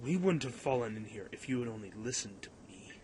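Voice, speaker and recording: A man's voice: medium pitch, expressive, brisk, darkish tone, slight background noise, lightly acted. A man playing a cautious, earnest young pilot in a radio play, with a slightly hesitant manner. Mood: indignant.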